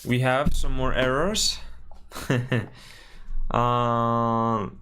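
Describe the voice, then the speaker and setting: A man from Spain with a Spanish accent, thinking aloud while building a game on a live stream and often correcting himself with a quick sorry. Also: medium pitch, steady tone, bright, forceful tone. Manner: mutters through steps, apologizes and corrects himself often.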